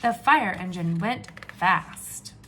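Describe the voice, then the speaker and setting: A woman teacher reading aloud to young children, with expressive questions and drawn-out emphasis. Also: fairly low pitch, a little hiss or hum. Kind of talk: children's read-aloud lesson.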